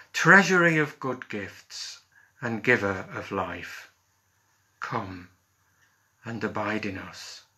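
A British man in his fifties, a minister leading worship with solemn, deliberate phrasing. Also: mid-range voice, pushed and bright. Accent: British English. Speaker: Methodist minister. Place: small living room at home.

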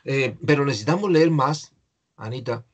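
A man's voice: medium pitch, moderate pace, breathy edge. An older man teaching English in an online class, patiently explaining pronunciation to a student over a video call.

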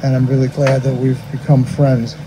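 An older man with an American accent giving an informal eulogy in a halting, conversational way outdoors. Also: medium pitch. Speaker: funeral eulogist. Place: outdoors under a cemetery tent. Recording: steady outdoor background noise.

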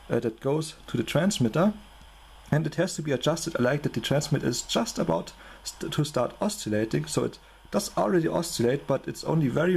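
A man's voice: mid-range voice, creaky, darkish tone, slightly rough. A man with a German accent giving an informal, matter-of-fact technical demonstration as a hobby electronics presenter.

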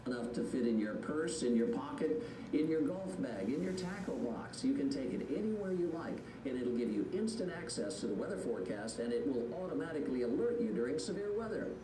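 A man's voice: medium pitch, sing-song, fairly quick. A man presenting a product in a scripted promotional style, played back through a computer speaker. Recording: distant, echoey, noisy computer speaker playback.

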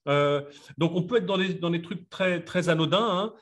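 A man in his fifties hosts a webinar and presents a card game in a casual, hesitant way, full of fillers. His voice sits a little higher than most men's. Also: normal speed. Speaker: webinar host presenting games. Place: office room with table. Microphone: desk camera mic on video call.

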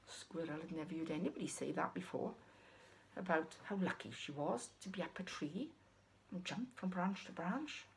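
A middle-aged woman telling a puppet story to small children, with drawn-out, expressive phrasing. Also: lowish voice, creaky, noisy background. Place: small living room, indoors.

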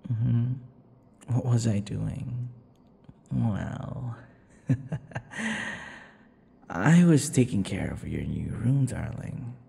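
A man playing a possessive vampire lover in an intimate ASMR roleplay, teasing and menacing, murmuring slowly with drawn-out words. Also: lively intonation, warm tone, heavily acted. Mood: doting, casual. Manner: slow, breathy murmurs, drawn-out words, low chuckles. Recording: long reverb, faint background noise.

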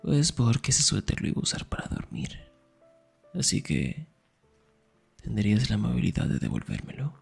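A young man performing an intimate ASMR roleplay as a teasing, affectionate best friend, murmuring in a breathy, husky whisper. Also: mid-range voice, big pitch swings, moderate pace, long pauses, darkish tone, faint music behind.

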